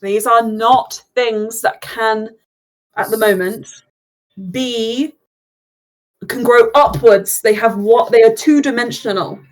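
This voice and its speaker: A young woman running a tabletop game as game master, chatty and quick to laugh, often breaking off mid-sentence. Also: a little high, rough recording.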